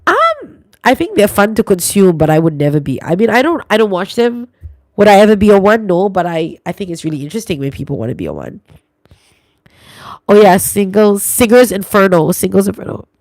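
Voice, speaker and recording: A woman's voice: medium pitch, very animated, a little fast, a little mellow, dry recording. A young woman with a Singaporean English accent, chatting casually on a livestream and reacting to viewer comments. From New Zealand and Singapore. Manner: chatty, reacts aloud to comments, sprinkles lah and lor. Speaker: livestream host.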